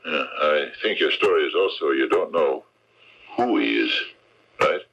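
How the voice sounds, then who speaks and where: Wry old-school private eye for a 70s radio mystery, super low gravelly voice, blunt, knowing. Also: male voice, animated, a little slow, dull audio, heavily acted. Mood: skeptical. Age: middle-aged. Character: seasoned, suspicious, wry male private detective. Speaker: radio drama actor. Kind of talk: 1970s radio mystery acting.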